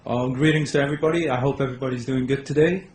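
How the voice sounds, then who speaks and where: A man's voice: medium pitch, steady tone, rapid-fire, darkish tone. Nervous young man debating in echoey hall. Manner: nervous at first public debate, earnest. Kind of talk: formal debate presentation. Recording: echoey hall, faint background noise.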